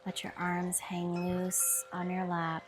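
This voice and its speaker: A woman guiding a mindfulness body scan meditation with soft, soothing instructions. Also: lowish voice, completely flat delivery, slow, music in the background. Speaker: meditation guide. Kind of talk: guided meditation.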